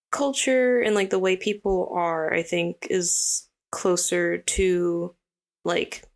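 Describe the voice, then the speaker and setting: A young woman from Hawaii, a guest artist, chatting casually in an interview with a relaxed, rambling manner and lots of fillers. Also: mid-range voice, vocal fry.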